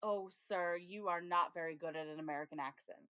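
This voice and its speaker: A woman's voice: on the low side, fairly quick, sharp tone, clear, resonant tone, no room sound. A woman co-hosting a podcast, chatting casually about TV episodes, laughing often and acting out her own reactions. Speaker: podcast co-host. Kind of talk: casual TV review chat.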